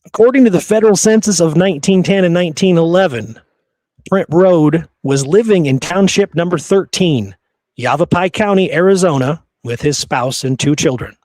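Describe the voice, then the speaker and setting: A man with a fairly high voice hosts a history show in a very dry, close room sound. He works through researched notes as if chatting, slipping in casual, amused asides. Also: normal speed, a little mellow, dry recording. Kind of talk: conversational storytelling from researched notes.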